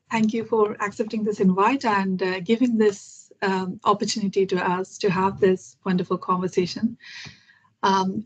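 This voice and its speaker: Husky woman hosting on dull audio. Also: mid-range voice. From Kerala, India. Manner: polite, hesitant, many "uh" and "um". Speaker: host. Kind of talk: conversational interview for learners.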